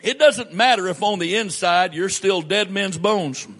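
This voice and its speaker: A male pastor preaching a sermon in a church, reading scripture aloud and then explaining it in a measured, emphatic way with pauses. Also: high voice, a bit bright.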